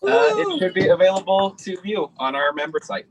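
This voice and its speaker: A man's voice: high voice, huge pitch swings, normal speed. A man working as technical director, chatting casually on a video call. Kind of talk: casual live chat. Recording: very noisy call with clicks.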